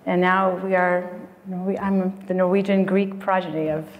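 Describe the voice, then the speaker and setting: A woman's voice: fairly low pitch, little pitch movement, unhurried, lo-fi sound. A woman in her thirties from the United States, a medical writer chatting as a guest on a live podcast, with frequent laughs and fillers. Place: conference stage before an audience. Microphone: lapel mic. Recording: long hall reverb.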